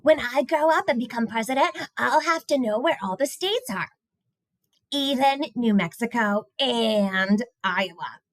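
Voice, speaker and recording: A woman's voice: on the high side, lively intonation, unhurried, no room sound, pitched above the performer's own voice. A woman voicing a confident, self-important young schoolboy with big plans in an earnest picture-book reading. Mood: proud, earnest.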